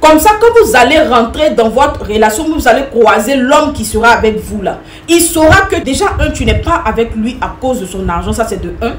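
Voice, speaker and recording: A woman's voice: fairly high pitch, animated, normal speed, hardly any pauses. A woman, giving direct advice to viewers in an emphatic, repetitive way. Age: 30s. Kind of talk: direct-to-camera opinion talk. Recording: echoey room with faint noise.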